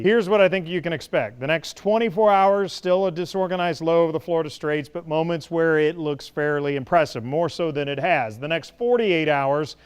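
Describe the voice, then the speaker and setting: A man's voice: fairly high pitch, clear, resonant tone. A man giving a broadcast weather forecast as a TV meteorologist, explaining with folksy analogies.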